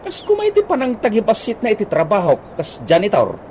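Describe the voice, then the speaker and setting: A man playing a well-meaning, chatty buddy who coaxes and grumbles haltingly in an Ilocano comedy radio drama. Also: high-pitched, wildly swooping pitch, slight background noise. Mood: grumbling.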